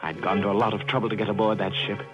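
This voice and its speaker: A man's voice: on the low side, level tone, natural voice. An actor playing a nervous male murderer who narrates his crimes in 1940s radio drama style, growing frantic. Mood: hushed. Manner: slow, then frantic and unravelling. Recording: muffled old radio broadcast with music.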